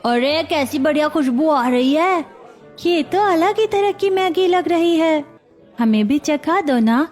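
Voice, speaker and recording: A woman plays an eager young schoolchild in a cartoon. The voice is high and cheerful, with faint music behind it. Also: expressive, slow, darkish tone. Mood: excited. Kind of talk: cartoon child acting.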